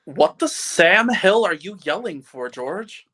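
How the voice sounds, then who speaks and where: A man's voice: high voice, very animated, slow pace, pushed and bright, exaggerated voice. A man playing a folksy, concerned small-town policeman in a live radio play. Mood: concerned, exasperated. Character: gruff but concerned small-town policeman, old friend.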